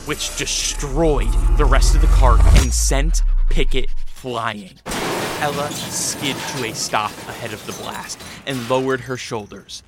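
A man's voice: medium pitch, takes it slowly. Male radio drama narrator over music.

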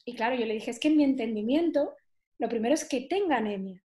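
High-voiced woman in her forties. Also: animated, fast talker, dark tone. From southern Spain. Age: 40s. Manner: conversational, many fillers and tag questions. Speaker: guest, nutrition therapist. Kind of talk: personal storytelling in an interview.

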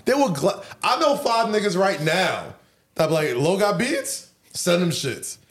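A man co-hosting a podcast, talking in animated, teasing casual chat and hyping up his co-host. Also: sits high, a little slow.

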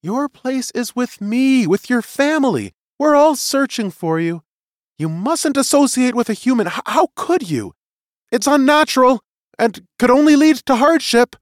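Man voicing a high-pitched anxious creature mother. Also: lively intonation, unhurried, dry recording, clean studio sound. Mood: pleading, distressed. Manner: pleading, insistent.

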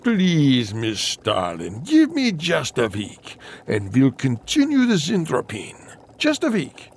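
Anxious, slow-talking creaky scientist. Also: male voice, mid-range voice, wildly swooping pitch, slight background noise, full character voice. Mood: uneasy, pleading. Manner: slow, careful, halting. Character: anxious, hesitant male scientist doctor. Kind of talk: campy audio drama acting.

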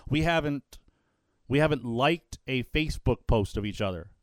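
A man who works as a wrestling ring announcer, telling stories in a casual podcast chat. He has a loud voice and gets animated, often repeating words when he is excited. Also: mid-range voice, normal speed, dry recording, clean studio sound.

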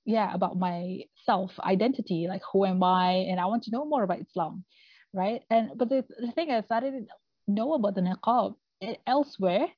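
A woman from Singapore with a Singaporean accent, talking casually as an interview guest, often halting to find her words. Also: mid-range voice. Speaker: podcast guest, family trauma coach. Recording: roomy, slightly dull audio.

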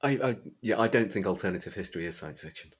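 A man with a deep, somewhat rough-edged voice hosts a casual book discussion. He talks haltingly, doubling back and repeating phrases as he works through his thoughts. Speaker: podcast host.

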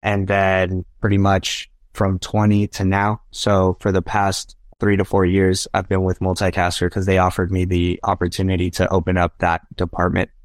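A young man whose first language is Spanish, talking through his life story in an earnest, anecdotal way. His voice sits a little low, and the recording is close and dry with no room sound. He keeps looping the listener in as he goes. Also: monotone, moderate pace, few pauses, no room sound. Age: young adult. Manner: earnest, anecdotal, often checks in with "right?". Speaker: podcast guest. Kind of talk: casual interview storytelling.

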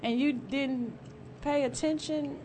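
A woman's voice: sits high, fairly even intonation, takes it slowly, darkish tone, a little hiss or hum. A woman co-hosting a casual group chat, teasing the others with playful interjections.

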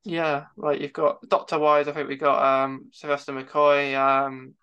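A man hosting a casual fan chat as interviewer, speaking haltingly with repeated words and false starts. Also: fairly high pitch, level tone, pushed and bright, clean tone, lo-fi sound. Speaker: podcast host interviewing a guest.

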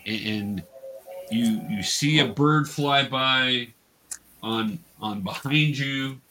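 A man's voice: mid-range voice, slow pace, sharp tone, a little hiss or hum, rough recording. A man acting as game master, patiently coaching players and narrating the action in an encouraging, improvised way.

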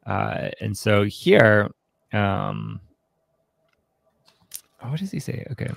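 A man co-hosting a podcast in a casual, reflective book discussion, haltingly repeating his words as he thinks. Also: fairly low pitch, huge pitch swings, creaky, dry recording. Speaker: podcast co-host.

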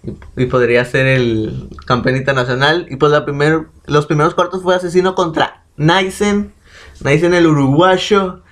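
A young man with a Mexican accent chatting casually as a co-host, relaxed and slangy with lots of fillers. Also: on the high side, moderate pace. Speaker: podcast co-host. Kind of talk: casual chat about rap battles.

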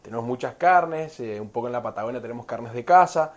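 A man's voice: medium pitch, lively intonation, fairly quick, lo-fi sound. A man in his thirties with an Argentine Spanish accent, talking about wine in an easy, enthusiastic way in an interview. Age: 30s. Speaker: winery representative. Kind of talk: casual interview chat.

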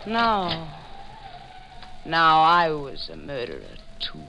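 An actress playing a reckless, passionate young woman killer who narrates her crime in an intense 1940s radio thriller style with dramatic pauses. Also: sits low, huge pitch swings, vocal fry, heavy background noise, exaggerated voice. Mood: haunted, suspenseful. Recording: vintage 1940s radio recording with music.

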